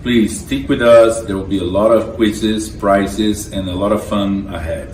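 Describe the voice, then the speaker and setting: A man's voice: fairly low pitch, steady tone, slow, a little mellow, echoey room, distant mic, a little hiss or hum, poor audio. A man hosting the show and giving a friendly, upbeat welcome. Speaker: host. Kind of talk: show introduction.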